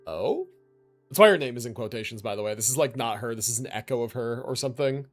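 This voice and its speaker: A man's voice: mid-range voice, expressive, fast. A man giving casual livestream commentary on a video game in a slangy, rambling, sweary way. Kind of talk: casual gaming stream commentary. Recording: game music behind the voice. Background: game music.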